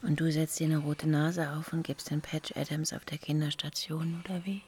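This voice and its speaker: An actress playing a worried, teasing woman confronting her partner, in hushed, intimate radio play dialogue. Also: sits low, flat delivery, moderate pace, slight background noise, lightly acted. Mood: dryly teasing.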